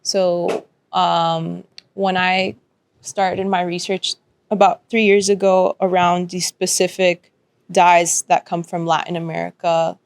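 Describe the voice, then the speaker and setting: Low, flat-voiced woman artist. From Dominican and Peruvian family. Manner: thoughtful, many pauses and fillers. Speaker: artist panelist. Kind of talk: panel discussion.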